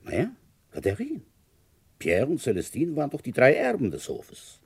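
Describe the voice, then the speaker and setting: An actor playing a probing, sceptical village police inspector in a German radio crime drama, questioning deliberately in a husky voice. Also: male voice, medium pitch, wildly swooping pitch, full character voice.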